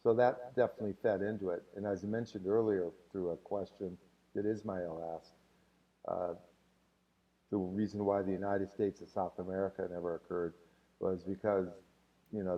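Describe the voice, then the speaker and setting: Low-voiced man teaching history casually. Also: distant mic, muffled recording. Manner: casual, rambling, with many filler words. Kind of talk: live-stream history lesson, answering viewer questions.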